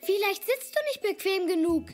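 Eager, very high-pitched zebra child. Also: expressive, normal speed, soft background music. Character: eager young zebra child playing scientist. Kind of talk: German-dubbed children's cartoon acting.

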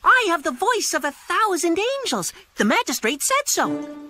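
A woman's voice: very high-pitched, big pitch swings, moderate pace, bright, forceful tone, no echo, full character voice. A woman voicing a vain, chirpy little cricket in a children's cartoon, boastful and theatrical. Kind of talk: animated children's cartoon acting. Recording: music bed behind the voice.

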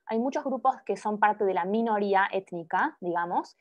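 A young woman presenting her doctoral research over a video call, pausing often with fillers and restarts. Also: medium pitch. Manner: hesitant, many filler sounds and restarts. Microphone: laptop microphone on video call. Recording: muffled video call audio.

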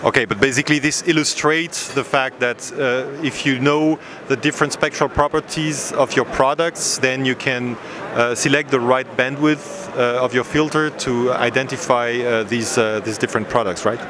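A middle-aged man interviewing in a halting, hesitant way at a trade show, speaking into a handheld microphone. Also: medium pitch, steady tone. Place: busy exhibition hall booth. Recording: noisy trade fair hall ambience.

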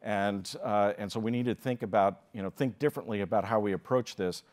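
A man's voice: on the low side, a little mellow. Older American man giving a keynote. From United States. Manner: measured, frequent filler pauses and restarts. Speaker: keynote speaker. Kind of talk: conference keynote presentation. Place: conference stage, indoors. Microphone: lectern microphone.

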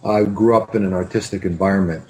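A man's voice: low-pitched, fairly even intonation, fast talker, a little mellow. A man, a film director reminiscing in an interview in a hesitant, rambling way over a video call. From Canada. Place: home room with bookshelves. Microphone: computer mic on video call.

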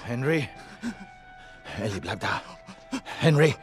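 A man voicing a gruff, protective survivor in a German video game dub, urgent and commanding. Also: medium pitch, lively intonation, slow, faint music behind. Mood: urgent, pleading. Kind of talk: German video game dubbing, dramatic acting.

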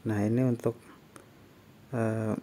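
A man gives a casual step-by-step software tutorial, explaining in a halting way. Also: on the low side, little pitch movement. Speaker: tutorial presenter. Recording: faint background noise.

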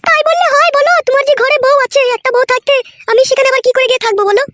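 A woman voicing a sharp-tongued middle-aged wife in a cartoon comedy, with a rural West Bengal accent, crude nagging delivery and an artificially pitched-up voice. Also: no echo, studio quality. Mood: worried. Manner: crude, nagging, rapid-fire.

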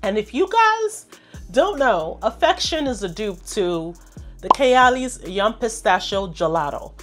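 A woman reviewing perfumes to camera in an enthusiastic, chatty way, congested and a little hoarse from a cold. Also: mid-range voice, big pitch swings, unhurried, a bit bright, soft background music. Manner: chatty, enthusiastic, addresses viewers as "you guys". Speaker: fragrance reviewer. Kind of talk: casual product review to camera.

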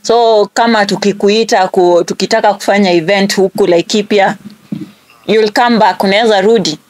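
A woman's voice: medium pitch, fairly even intonation, normal speed, darkish tone, no echo. A woman in her twenties with a Kenyan accent, hosting a phone call in a casual, chatty style. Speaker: prank call show host. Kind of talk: casual phone chat mixing Swahili and English.

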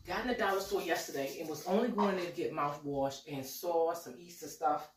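A woman in her forties chats her way through a home cooking vlog. Her voice is on the low side and a little nasal from a cold. She is loose and folksy, and her voice carries a roomy kitchen echo from a camera set a few steps away, with a faint hiss underneath. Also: a little fast, distant mic. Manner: chatty, folksy, talks to viewers as y'all. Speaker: cooking vlogger.

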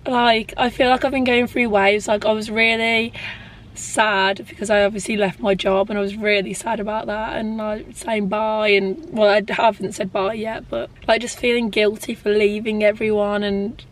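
A woman in her twenties with a Birmingham accent and a hoarse, lost voice, chatting candidly to camera in a vlog from inside a car. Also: mid-range voice, little pitch movement, normal speed, a little hiss or hum. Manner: rambling, candid, frequent "like". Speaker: vlogger. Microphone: handheld camera close up.

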